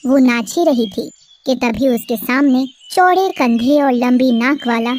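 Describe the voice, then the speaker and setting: A woman narrating an animated story in a steady storytelling cadence. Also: high-pitched, a little slow, a little hiss or hum.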